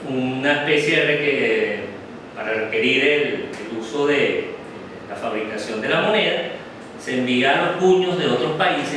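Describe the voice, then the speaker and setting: A middle-aged man gives a slide presentation in a classroom, talking unhurriedly and often checking in with "ok?". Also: medium pitch. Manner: unhurried, many "ok?" checks with the audience. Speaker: guest lecturer. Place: darkened classroom with projector screen. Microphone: far camera. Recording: very echoey room from far off, noisy.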